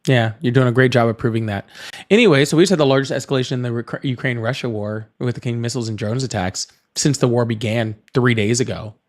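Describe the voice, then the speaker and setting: A man's voice: mid-range voice, quick pace. A man hosting a live call-in political debate in a casual style, reading out viewer messages, sarcastic and dismissive with callers.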